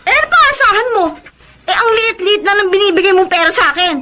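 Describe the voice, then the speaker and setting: A woman's voice: extremely high pitch, lively intonation, fast talker, very noisy. A woman with a Filipino accent playing a nagging, defiant wife in a radio drama. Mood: angry, scornful. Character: shrill, nagging, defiant wife quarrelling over money.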